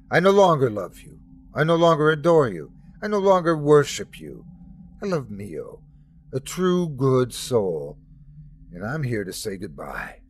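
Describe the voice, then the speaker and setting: A man voicing an impassioned grown son who defies his divine father, speaking in a raised, intense voice well above his own. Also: medium pitch. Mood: defiant.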